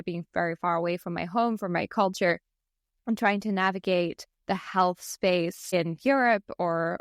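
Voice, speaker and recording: Clear-voiced woman with a softened South African accent. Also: medium pitch, no echo, clean studio sound. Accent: South African, softened by years abroad. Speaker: podcast co-host. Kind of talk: conversational podcast introduction.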